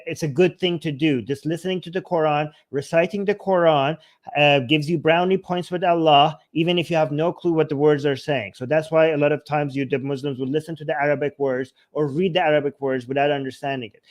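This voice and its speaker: A man from Iran with a Persian accent, co-hosting a call-in show and explaining at length with frequent restarts. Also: fairly high pitch. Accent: Iranian. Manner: halting, many restarts and fillers, then explanatory. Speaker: co-host.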